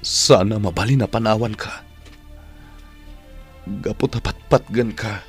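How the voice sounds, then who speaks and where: Deep-voiced, earnest young fighter. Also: male voice, unhurried, husky, faint music behind. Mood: tender, emotional. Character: devoted, bashful young male fighter worried for his brother. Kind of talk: Ilocano radio drama acting.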